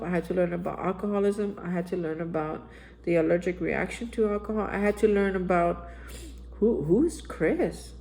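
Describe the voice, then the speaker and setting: A man's voice: high voice, vocal fry, darkish tone. A man giving a daily spiritual recovery reflection as a vlogger, talking earnestly and urging the listener on, often repeating himself and saying "man". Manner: earnest, exhorting, repeats phrases and says "man". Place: Austin, Texas area.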